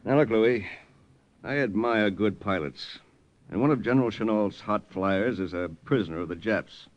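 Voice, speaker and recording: An actor playing a wry, slangy American wartime flyer with an American accent in an old radio drama. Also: male voice, fairly low pitch, normal speed, dry recording, muffled recording, close to an everyday voice. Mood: easygoing, keen. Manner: slangy, wry, plain-spoken. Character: wisecracking American lieutenant, brash wartime flyer. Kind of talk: 1940s-50s radio drama acting, first-person narration. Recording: old radio recording, music behind.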